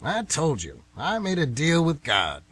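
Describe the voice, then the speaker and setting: A man's voice: a little high, huge pitch swings, measured pace, a bit bright, slightly rough, heavily acted. An actor with an American accent playing an indignant, stubborn older man, folksy and emphatic, in a radio play. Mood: scornful. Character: stubborn, indignant old male former baseball fan.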